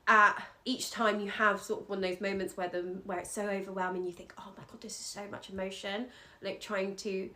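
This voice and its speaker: A woman's voice: mid-range voice, flat delivery, a little fast, few pauses, bright, forceful tone. A Scottish woman, an astrologer, giving an earnest, encouraging chart reading in loose conversational speech.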